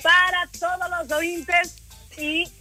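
A woman's voice: sits high, a little slow, bright, forceful tone, soft background music. A woman from Lisbon with a Portuguese accent, a native Portuguese speaker, promoting a brand giveaway live on radio in an effusive, affectionate way.

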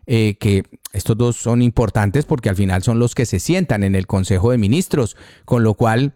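A man hosting a live radio talk show, conversational, repeating details slowly and deliberately. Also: on the low side, warm tone, dry recording, studio quality. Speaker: radio host. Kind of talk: live radio talk with read-out sponsor spots. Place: radio studio.

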